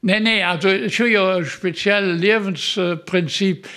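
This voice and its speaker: A man's voice: sits high, unhurried, sharp tone. A man, joking and rambling through stories with many fillers. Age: 80s. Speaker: guest. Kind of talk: casual storytelling chat.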